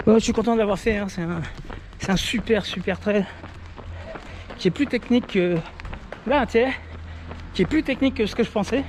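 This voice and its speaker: A worn-out man talks to his camera on a mountain trail, chatty and loose, with plenty of hesitations. His voice sits high, and faint outdoor noise hangs behind him. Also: moderate pace, long pauses, a little mellow. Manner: chatty, tired, many fillers and restarts. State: tired. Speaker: trail runner filming himself. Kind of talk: casual vlog commentary while running.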